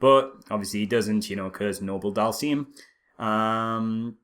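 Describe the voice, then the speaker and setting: A man's voice: on the low side, measured pace, fairly bright tone. Sarcastic man in casual film chat. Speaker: podcast co-host.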